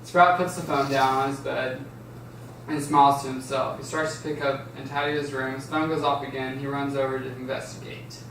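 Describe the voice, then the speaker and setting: A man's voice: medium pitch, flat delivery, brisk, fairly bright tone. A man reading out stage directions as narrator in an amateur table read. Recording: echoey room, distant mic, faint noise.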